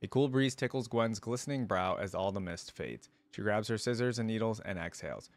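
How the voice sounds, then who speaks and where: Low-voiced man hosting a casual reaction. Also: expressive, a little fast, no echo. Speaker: reaction video host. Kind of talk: casual commentary and reading a story aloud.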